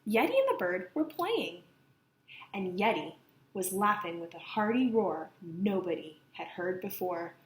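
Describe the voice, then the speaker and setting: A woman reading a children's picture book aloud with expressive, dramatic emphasis. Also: mid-range voice, a little slow. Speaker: storybook reader. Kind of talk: animated children's read-aloud narration. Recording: echoey room, slight background noise.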